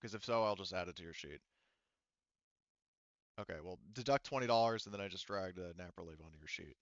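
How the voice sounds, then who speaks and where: Smooth low guy game mastering online, rambly and halting, dry slightly dull mic. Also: no echo. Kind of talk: casual explaining during online tabletop game.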